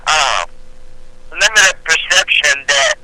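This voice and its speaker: Slow, bright, sharp-voiced man on a call. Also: on the high side, expressive, no echo, rough recording. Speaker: guest on a conference call. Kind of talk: casual discussion. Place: remote voice on an internet conference call.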